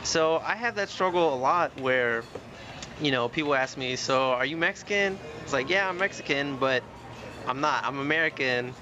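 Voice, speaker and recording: A man's voice: medium pitch, expressive, moderate pace, bright, forceful tone, muffled recording, faint music behind. A man born and raised in Austin, Texas, speaking candidly and reflectively about his identity. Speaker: panel guest. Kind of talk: casual discussion.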